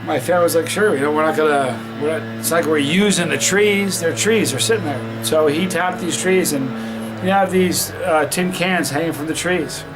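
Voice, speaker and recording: Chatty vermont guy rambling thru a sauce review, kinda high voice, lots of um and restarts. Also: moderate pace, over music, low-quality recording. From Vermont, USA. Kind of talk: casual review vlog.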